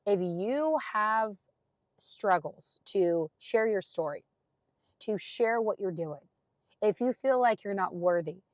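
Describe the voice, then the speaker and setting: A young adult woman with a deep raspy voice hosts her own podcast, talking earnestly and emphatically to encourage listeners, with casual fillers like "like" and "gosh". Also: darkish tone, no room sound. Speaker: podcast host. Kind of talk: motivational solo podcast talk.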